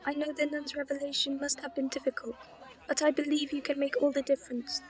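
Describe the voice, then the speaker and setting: A formal, precise officer figuring out human feeling, voiced high and with little rise and fall by an actress. Her speech is careful and measured. It is an audio drama. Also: little pitch movement, natural voice. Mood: earnest.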